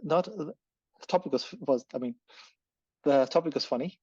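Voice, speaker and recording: A man's voice: a little high, moderate pace, a little mellow, husky, no room sound. A man hosting a podcast and talking casually with his guest, often repeating words as he restarts his sentences. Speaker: podcast host. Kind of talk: casual interview chat. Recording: dull, slightly lo-fi.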